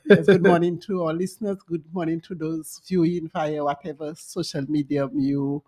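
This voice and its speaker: A Dominican woman in her sixties, a longtime nurse, talking with warmth and chatty asides as a guest on a radio interview at a studio microphone. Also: deep voice, fairly even intonation, moderate pace. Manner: warm, chatty, with laughter and asides. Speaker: nurse and home health provider, guest.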